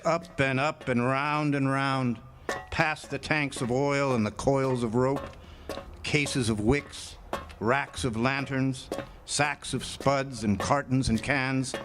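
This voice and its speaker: A man playing a lighthouse keeper in a radio drama, telling his tale vividly and deliberately, savoring each description. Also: mid-range voice, natural voice. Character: lighthouse keeper recounting a grim, atmospheric adventure tale. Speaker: narrator and lead actor. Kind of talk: dramatic old-time radio storytelling. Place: amphitheater stage performance. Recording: noisy live amateur theatre recording.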